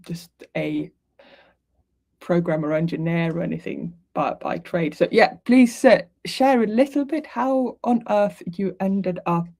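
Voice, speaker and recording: A woman's voice: lowish voice, a little mellow. A woman from Sweden hosting a casual live interview in a chatty, conversational way. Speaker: livestream host.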